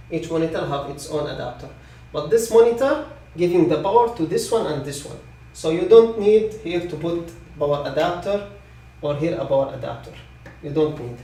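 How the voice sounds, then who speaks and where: A man who is a non-native English speaker giving live technical training, repeating his points reassuringly and often checking with a quick okay. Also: on the high side, dark tone. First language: not English. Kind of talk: live online product training. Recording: echoey room, distant microphone.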